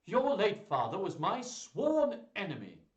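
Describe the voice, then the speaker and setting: Villainous duke, acted by a man: raised pitch, rasping, imperious snarl, echoey room. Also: wildly swooping pitch, measured pace, muffled recording. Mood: hostile. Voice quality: raspy. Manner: commanding, snarling. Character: stern, hostile, sneering male duke. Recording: roomy echo, distant microphone.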